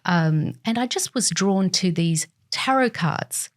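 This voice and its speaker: A woman who grew up in Argentina, a tarot reader guest on a podcast. Her voice sits a little low, and she answers thoughtfully with frequent ums, pauses and restarts. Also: lively intonation. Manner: hesitant, many ums and restarts. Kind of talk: casual interview.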